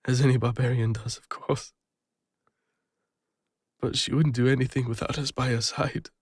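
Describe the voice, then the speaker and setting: A man with an English accent playing a guilt-ridden, lonely retired adventurer, speaking haltingly and emotionally in an intimate fantasy audio roleplay. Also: mid-range voice, fairly even intonation, breathy edge, dry recording, clean studio sound, close to an everyday voice. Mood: fond, nostalgic.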